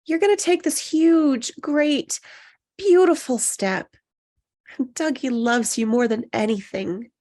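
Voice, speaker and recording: High-pitched female actor as a loyal best friend, soothing and encouraging, in a screenplay read. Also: slow, a little mellow. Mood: reassuring. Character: Annie, loyal reassuring best friend of a nervous bride.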